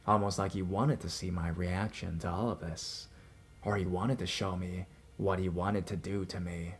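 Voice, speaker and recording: A man's voice: sits low, breathy edge. A man narrating scripted first-person scary stories as a storyteller. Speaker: narrator reading listener-submitted scary stories. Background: background music.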